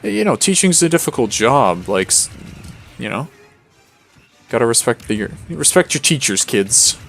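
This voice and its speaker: A tired man streaming a game late at night, rambling in a flat, monotone way with lots of fillers and restarts. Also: medium pitch, normal speed, vocal fry, soft background music. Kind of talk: casual gameplay commentary.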